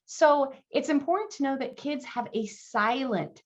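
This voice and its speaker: A woman's voice: high voice, animated, measured pace. A woman in her thirties hosting a live online Q and A, upbeat and encouraging, with plenty of ums and likes. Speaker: language program host. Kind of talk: live webinar Q and A.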